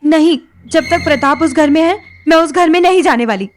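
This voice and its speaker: A woman's voice: high voice, a little fast, lightly acted. A woman playing a wronged, anxious young married woman in an audio drama, earnest, pleading and insistent. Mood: upset. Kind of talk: Hindi audio drama acting. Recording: music bed under the voice.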